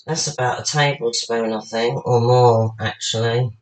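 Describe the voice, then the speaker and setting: A woman, her voice slightly husky and worn with tiredness. She speaks slowly and unhurriedly, rambling and talking to herself between steps as she cooks at home. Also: extremely low pitch, steady tone, low-quality recording. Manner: chatty, rambling, talks to herself while working. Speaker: home cook. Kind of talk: casual cooking demonstration.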